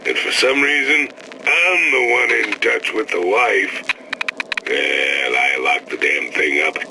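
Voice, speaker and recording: A man's voice: fairly low pitch, expressive, slow pace, creaky, full character voice. An actor playing a wry, exasperated father grumbling casually and dryly in a game audio diary. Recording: noisy old audio-diary recording.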